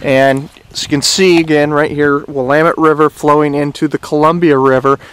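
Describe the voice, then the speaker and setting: A man's voice: fairly high pitch, flat delivery, moderate pace, smooth, dry recording. A man giving a casual on-location report outdoors by a river, rambling with many "uh" fillers. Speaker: citizen reporter. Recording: outdoor recording with loud wind and river noise.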